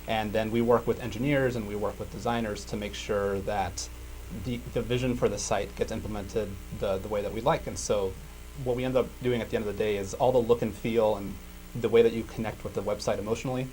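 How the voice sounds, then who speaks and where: A man's voice: fairly low pitch, steady tone, fairly quick, darkish tone, soft background music, low-quality recording. A young man, a guest on a local show, explaining his web design work in an easygoing way. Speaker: guest, web user experience director. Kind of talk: interview answers.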